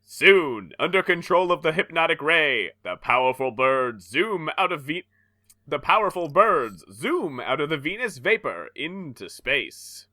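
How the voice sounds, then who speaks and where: A man's voice: a little high, unhurried, dry recording, studio quality. A man co-hosting a comedy podcast, reading a comic aloud and laughing at it between lines. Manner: animated, often laughing at the text. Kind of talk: comedic dramatic reading with banter.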